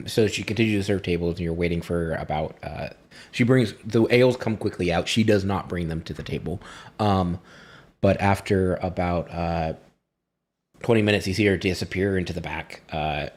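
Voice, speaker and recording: A man improvising narration as a game master, halting often as he finds his words. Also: deep voice. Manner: halting, pausing to find words.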